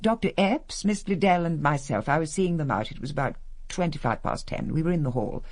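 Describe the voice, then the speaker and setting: Radio drama: disdainful upper-class English mother, middle-aged, lowish, dry and imperious, closely recorded. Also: animated, brisk, darkish tone, no echo. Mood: crisp, composed. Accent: British English. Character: haughty upper-class English matriarch, coolly disapproving.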